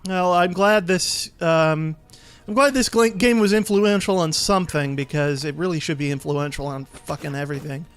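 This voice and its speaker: A man's voice: on the high side, moderate pace, clean tone. A man giving casual, easygoing commentary as he plays and explains a video game. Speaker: let's play host and player.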